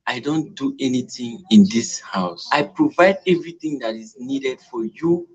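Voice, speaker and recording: A man's voice: mid-range voice, moderate pace, natural voice, rough recording. A man with a Nigerian accent playing an exasperated, demanding husband and breadwinner in an audio drama. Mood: indignant. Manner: scolding, questioning, ends questions with "eh".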